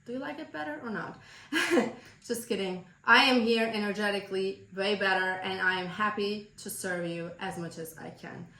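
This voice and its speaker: A woman from Turkey with a Turkish accent, a business coach giving earnest, emphatic motivational advice straight to the viewer, slightly hoarse from a cold. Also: mid-range voice, moderate pace, pushed and bright, roomy sound. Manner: earnest, emphatic, direct address.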